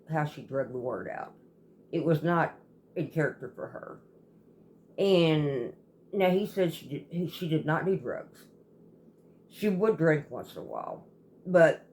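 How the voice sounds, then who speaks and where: A woman telling a story casually as a host, in a halting, rambling way that often circles back and repeats. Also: low voice, a little mellow, a little hiss or hum. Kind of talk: casual storytelling, retelling a viewer's account.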